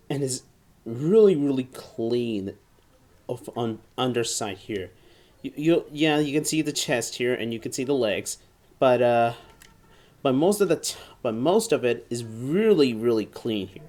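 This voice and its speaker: Casting: male hobby reviewer, average pitch, enthusiastic but halting, repeats himself, casual unscripted delivery. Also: a little mellow. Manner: halting, often repeats and restarts phrases. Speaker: toy reviewer. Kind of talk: casual hands-on product review. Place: indoor room at home, seated at a table.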